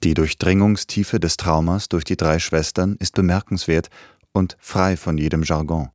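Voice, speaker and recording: Deep-voiced guy reading a radio feature intro, formal and steady, dry close sound. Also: moderate pace, no room sound. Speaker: radio presenter.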